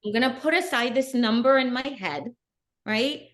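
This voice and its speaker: A middle-aged woman, a guest on an interview podcast, telling her story in an earnest, animated way. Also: on the high side, normal speed. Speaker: guest, bikini athlete. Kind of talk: casual interview.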